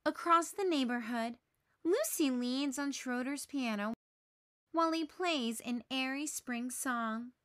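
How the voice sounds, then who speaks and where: A woman narrating a children's storybook aloud in a measured, expressive way. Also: high-pitched, no echo, studio quality.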